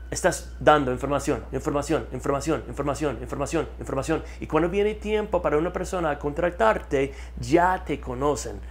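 A man's voice: on the high side, slight background noise. Guy in his 30s, repeats words a lot, lapel mic studio interview. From United States. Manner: repeats words for emphasis, animated hand gestures. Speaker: guest, marketing consultant. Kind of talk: energetic interview talk. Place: studio with map backdrop.

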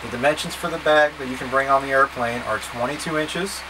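Male trip leader, thirties, mid-pitched, practical instructional delivery, casual and direct, noticeable background hum. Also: fairly quick. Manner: plain-spoken, step-by-step, casually directive. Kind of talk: instructional packing talk to camera. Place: small wood-panelled boat cabin, indoors. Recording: steady background hum and noise.

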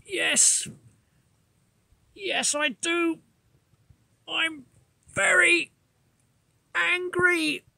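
A woman plays a grumpy bald prophet who groans and sighs through every line. He moans at a very slow, dragging pace and still lands fairly high. The sound is dry and close. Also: a bit bright, dry recording, heavily acted. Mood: indignant.

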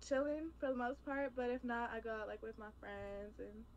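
A woman's voice: a little high, moderate pace, dull audio. Young woman, faintly noisy video call.

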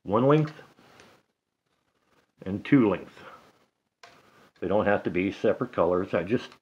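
A middle-aged man walks through a hands-on repair at an indoor table, speaking very slowly in a lowish voice and muttering to himself between steps. The sound is a little dull, picked up by a camera close to his hands. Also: lively intonation, husky. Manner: slow, halting, talks to himself while working. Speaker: DIY hobbyist demonstrating a repair. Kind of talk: casual hands-on tutorial.

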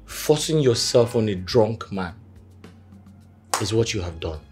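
An actor playing a cold, dismissive rich young husband, curt and sarcastic. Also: male voice, fairly low pitch, expressive, unhurried, soft background music. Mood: accusing.

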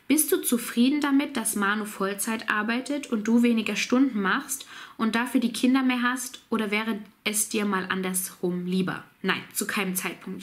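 A woman in her thirties with a cold, chatting casually to camera from a small home office as she answers viewers' questions. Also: mid-range voice. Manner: relaxed, chatty, laughs easily, reads out questions. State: has a cold.

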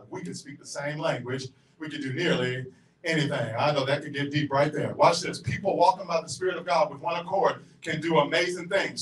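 A middle-aged man with a Southern US accent preaching a sermon as pastor, pacing the stage and swinging between emphatic shouts and quiet asides. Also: a little high, slight background noise. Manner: paces and gestures across the stage while preaching. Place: large church auditorium. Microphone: handheld mic, filmed from far back. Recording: echoey hall from far off.

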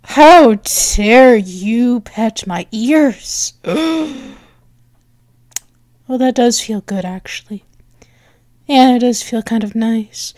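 Woman doing a flustered bratty catgirl gf, asmr roleplay, slow and halting, teasing, really dry close sound. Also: on the high side, lively intonation, darkish tone, no echo. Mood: flustered, playful. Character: flustered, bratty but affectionate cat-girl girlfriend. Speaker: audio roleplay performer.

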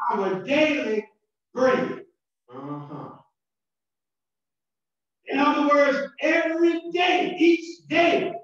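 A man with a Southern American accent, a pastor preaching a sermon with shouted emphasis and repeated words. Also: extremely high pitch. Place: church sanctuary. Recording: distant, very echoey and muffled church recording.

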